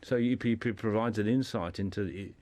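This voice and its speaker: A man with a low voice talks as a guest in a radio discussion. The sound is dry, with little room in it. He explains haltingly, often doubling back on his words. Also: a little mellow, dry recording.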